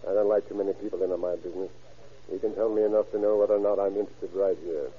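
A man's voice: low voice, fairly even intonation, racing, breathy edge. An American man playing a confident, easygoing police captain in a radio crime drama, talking in a folksy, assured way. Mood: firm, wary. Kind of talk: old-time radio crime drama acting. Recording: narrow, tinny vintage radio broadcast.

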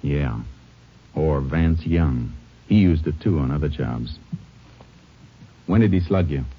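Very deep, laconic Texas lawman. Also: slightly rough, heavily acted. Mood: measured, resolute. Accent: American. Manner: laconic, measured, matter-of-fact. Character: calm, shrewd Texas Ranger investigator, laconic lawman. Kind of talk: 1950s radio western acting. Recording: dull old radio recording.

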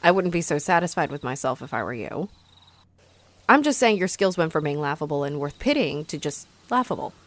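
A woman's voice: low voice, sing-song, brisk. A woman playing a haughty, sarcastic female vampire in a roleplay audio drama, mocking and theatrical as she taunts her rival. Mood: grudging, irritated.

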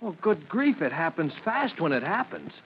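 A man's voice: a little high, big pitch swings, normal speed. An actor playing an earnest, deferential young rookie fireman, easily flustered, in an old-time radio drama. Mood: flustered, surprised. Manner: deferential, stammers when flustered. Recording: muffled, noisy old radio recording.

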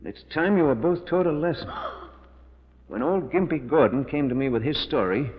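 A man's voice: fairly high pitch, expressive, moderate pace, close to an everyday voice. Actor as a tense, desperate man in old radio drama. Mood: grim, reading aloud. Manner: emphatic, melodramatic. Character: tense guilty man trapped in a cave, desperate schemer. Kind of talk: 1940s radio acting. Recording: muffled 1940s radio recording, reverberant.

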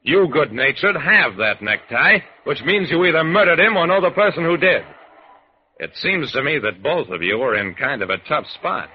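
An actor playing a wry, commanding hard-boiled detective, narrating deadpan in a 1940s-style radio drama. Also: male voice, fairly high pitch, normal speed, husky. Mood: firm. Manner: deadpan, wisecracking. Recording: dull old radio recording.

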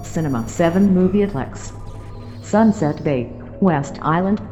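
A woman's voice: fairly low pitch, expressive, measured pace, warm tone, soft background music. A woman voicing an impersonal automated location announcer in an audio drama, in clipped phrases.

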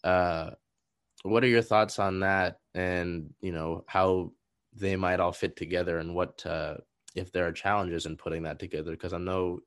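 A man's voice: sits low, steady tone, normal speed, no echo, clean studio sound. A man interviewing a soccer player, asking long, rambling questions with many restarts and fillers. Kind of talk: conversational podcast interview.

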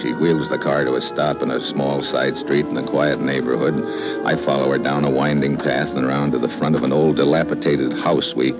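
An actor playing a wry, tough American secret agent in an old-time radio drama, with clipped, slangy, deadpan delivery. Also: male voice, very deep voice, brisk. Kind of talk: old-time radio drama acting with first-person narration. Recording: dull old radio recording, music behind.

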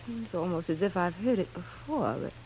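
A woman's voice: lowish voice, expressive, normal speed, darkish tone, a little hiss or hum, low-quality recording. An actress playing a sweet, youthful castaway widow with a ladylike, wistful manner in a classic radio drama. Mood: wistful, poised.

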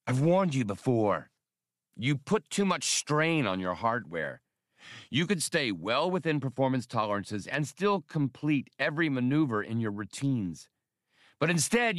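A man's voice: mid-range voice, a bit bright, dry recording, studio quality. A man voicing a brash young starship pilot with a short temper.

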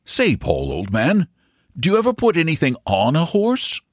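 A hearty gentleman uncle acted by a male reader with hugely swooping intonation. He sounds indulgent and amused, teasing and questioning in lively storybook dialogue. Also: on the high side, moderate pace, dry recording, studio quality, pitched above the performer's own voice. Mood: curious, friendly. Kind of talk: audiobook character dialogue.

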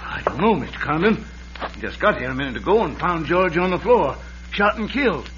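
An actor playing an alarmed, honest frontier cafe owner, agitated and exclaiming, in an old-time radio western. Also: male voice, a little high, very animated, brisk, a bit bright, lo-fi sound. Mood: flustered. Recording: noisy, band-limited old radio broadcast.

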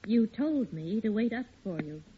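An actress as long-suffering housewife on tinny old radio. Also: female voice, fairly high pitch, animated, moderate pace, a little hiss or hum, lightly acted. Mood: suspicious, curt. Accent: American. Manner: pointed, exasperated. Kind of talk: 1940s American radio sitcom acting. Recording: tinny vintage radio recording.

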